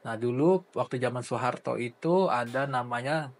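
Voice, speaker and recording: Seorang pria berusia empat puluhan dengan logat Medan, pemilik agen perjalanan, menjawab pertanyaan dengan santai, pelan, dan sering ragu-ragu. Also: male voice, mid-range voice, moderate pace. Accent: Medan Indonesian. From Jakarta, Indonesia. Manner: hesitant, many uh fillers, soft-spoken. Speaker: travel agency owner. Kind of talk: casual interview answers.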